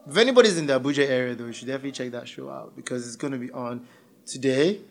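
A man's voice: medium pitch, racing, gravelly, a little hiss or hum. A man chatting informally and slangily as a podcast co-host. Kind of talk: casual conversational chat.

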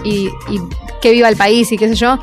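An Argentine woman with a Rioplatense Spanish accent co-hosts a casual radio panel. Her voice sits fairly high, and she talks in a relaxed, chatty way, with light music playing faintly behind her. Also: moderate pace. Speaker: radio co-host.

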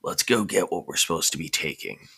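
A man voicing a calm, easygoing male ninja squad leader in an amateur story reading. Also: rumbling low, a little fast, vocal fry, husky, heavily acted. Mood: matter-of-fact.

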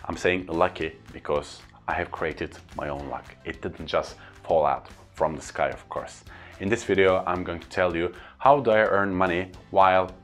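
A man with a Turkish accent speaks to camera in an earnest, upbeat way, stacking up lists for emphasis. His voice sits very low. Faint music plays quietly beneath him. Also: fairly even intonation, moderate pace. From Turkey. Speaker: corporate trainer and speaker. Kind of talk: casual talking-to-camera vlog.

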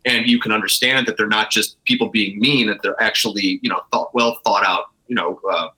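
A man's voice: lowish voice, steady tone, brisk, bright, forceful tone, poor audio. A man speaking as an interview guest, telling the story of his business in a casual, conversational way. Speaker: interview guest, brewery co-founder.

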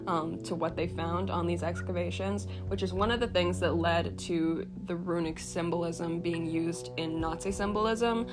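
A young woman with a stuffy, sniffly nose talks casually to camera, her voice sitting a little low. She fills her talk with ums, sarcastic asides and the odd swear word. Music plays clearly underneath. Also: steady tone, normal speed, hardly any pauses. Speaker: tarot reader and video creator.

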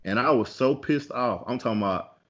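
A man's voice: medium pitch, a little fast, lo-fi sound. A man telling a personal story in a casual podcast chat, candid and conversational. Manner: candid, conversational, with many fillers and restarts.

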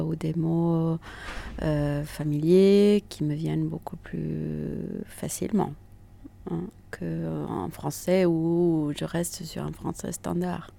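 Slow, gravelly middle-aged woman. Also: deep voice, mellow. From Italy. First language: Italian. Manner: thoughtful, unhurried, frequent hesitations. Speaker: interviewee. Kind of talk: reflective personal testimony.

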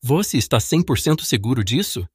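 A man's voice: mid-range voice, big pitch swings, a little fast, breathy edge. A man playing a persuasive, self-assured political rival in an amateur audio drama, coaxing as he talks. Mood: confident, provoking. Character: João, smooth, persuasive male political rival.

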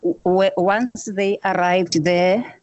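Woman, musician guest; slow, simple, repetitive answers, close and dry. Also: fairly low pitch, steady tone, darkish tone, dry recording, highs cut off. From South Africa. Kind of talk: interview answers.

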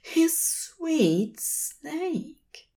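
A woman reading fiction aloud as an expressive, scripted storyteller. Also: high voice, extremely slow. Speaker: podfic narrator.

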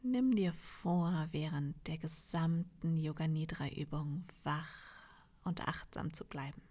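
A woman leading a guided meditation in a soft, half-whispered, soothing voice, leaving long pauses between phrases. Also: sits low. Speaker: yoga nidra meditation guide.

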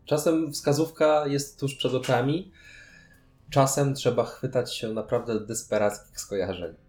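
A man's voice: mid-range voice, unhurried, darkish tone, roomy sound, soft background music. A man chatting casually as co-host of a board game review show. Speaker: podcast co-host.

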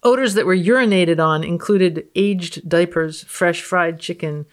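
A woman's voice: on the low side, a little slow. A woman guest on a podcast, reading research aloud and commenting in a wry, matter-of-fact way. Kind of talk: reading research aloud with casual, unscripted reactions.

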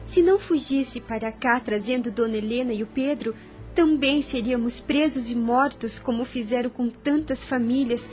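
An actress playing a brave, gentle young woman in a radio drama, earnest. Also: fairly high pitch, moderate pace, faint music behind, close to an everyday voice. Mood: grieving, subdued.

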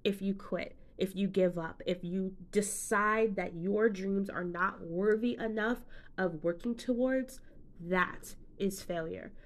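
A woman's voice: mid-range voice, takes it slowly. A woman hosting a solo podcast, talking listeners through goal planning in an upbeat, conversational coaching manner. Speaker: podcast host and business coach. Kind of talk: solo motivational podcast talk.

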